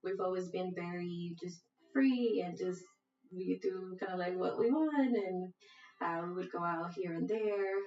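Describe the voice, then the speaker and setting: A woman's voice: lowish voice, unhurried, a little mellow. A young woman from Costa Rica speaking casually in a documentary interview, with light laughs. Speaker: interviewee, cousin. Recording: roomy, distant, dull audio with music.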